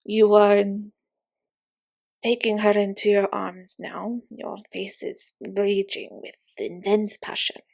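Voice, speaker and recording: A woman plays a mysterious female fortune teller in an audio roleplay. She declaims in formal, portentous phrases at a slow, deliberate pace. Her voice is husky with some creak, and her manner is grand and insistent. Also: mid-range voice, level tone, a little mellow. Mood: portentous, mystical. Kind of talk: scripted roleplay audio, theatrical prophecy.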